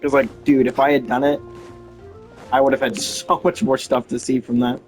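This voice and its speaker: A man gives casual game commentary in a mid-pitched voice. He rambles informally and wanders into tangents, with faint music behind him. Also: flat delivery, moderate pace. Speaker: game streamer.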